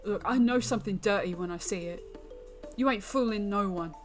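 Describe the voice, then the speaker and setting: A woman's voice: mid-range voice, fairly quick, faint music behind, close to an everyday voice. Woman doing a stubborn, blunt young lad. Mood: defiant. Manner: blunt, rough colloquial speech. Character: frightened but stubborn, defiant young male traveller. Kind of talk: dramatic visual novel reading.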